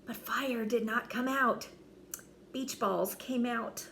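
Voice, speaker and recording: A woman reading a children's story expressively, with theatrical delivery and dramatic pauses. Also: fairly high pitch, steady tone, creaky, fairly bright tone, a little hiss or hum. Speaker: storybook reader.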